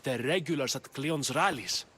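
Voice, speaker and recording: An actor playing a theatrical, indignant and easily frightened Athenian politician in a video game. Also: male voice, mid-range voice, moderate pace, a bit bright, a little hiss or hum, close to an everyday voice. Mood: aggrieved. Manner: agitated, theatrical, wordy.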